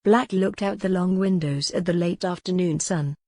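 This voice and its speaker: A woman's voice: on the low side, normal speed, darkish tone, no echo, studio quality. A woman reading a historical novel as scripted, dramatic audiobook narration.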